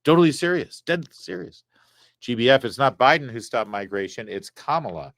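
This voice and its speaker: A man's voice: mid-range voice, expressive, normal speed, a bit bright, dry recording, clean studio sound. A man hosting a live talk stream, reading viewer messages aloud with chatty, wry asides. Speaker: talk show host reading viewer super chats. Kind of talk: casual live-stream chat. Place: studio set.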